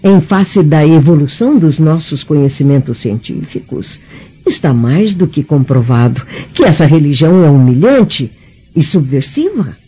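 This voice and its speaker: An actress with a Brazilian accent playing a mature, haughty Roman noblewoman in a radio drama, speaking in a formal, measured, disapproving way with a husky, mellow voice. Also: sits low, animated. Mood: scornful. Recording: dull, muffled radio drama recording.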